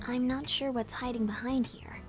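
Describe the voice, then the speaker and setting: Woman acting an eager, high-voiced young engineer girl. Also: steady tone, moderate pace, natural voice. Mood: casual, thoughtful. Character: excited, proud, teasing young female engineer student. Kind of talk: visual novel game voice acting. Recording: music playing behind the voice.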